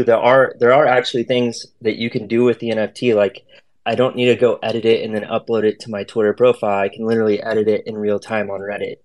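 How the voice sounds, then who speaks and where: A man's voice: on the low side, a little mellow. A man speaking as a guest on an online voice chat, explaining and telling stories in a casual, slangy way. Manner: slangy, informal. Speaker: guest artist.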